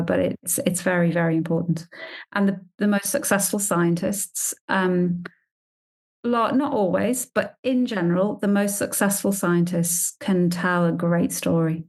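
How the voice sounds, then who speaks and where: Interview guest, female scientist, slightly low pitch, conversational and emphatic. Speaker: scientist and grant panel member.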